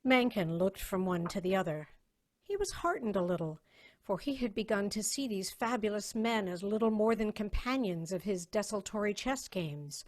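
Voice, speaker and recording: Casting: female narrator for a science fiction reading, medium pitch, measured storyteller delivery. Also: lively intonation. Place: online video call reading.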